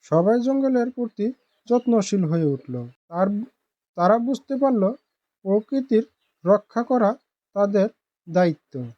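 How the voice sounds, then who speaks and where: Slow, mellow female storyteller. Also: medium pitch, dry recording, dull audio. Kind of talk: scripted narration of a village fable.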